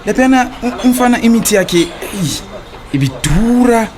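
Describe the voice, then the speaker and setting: An actor playing a smooth-talking, evasive cheating husband in a radio drama, with a Zimbabwean accent and a stammer when cornered. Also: male voice, very high voice, animated, moderate pace. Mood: flustered, pleading. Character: smooth-talking, cheating husband wooing his young lover, evasive debtor.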